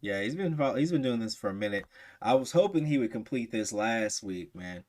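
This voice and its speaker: A man's voice: mid-range voice, animated, a little fast, darkish tone. A man hosting a reaction video, talking in laid-back, slangy banter. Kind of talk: casual reaction commentary.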